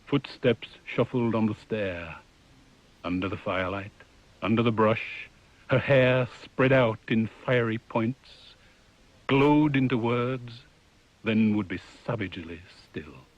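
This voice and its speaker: A man giving a solemn, deliberate poetry reading. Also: lowish voice, no echo, highs cut off. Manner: measured, deliberate.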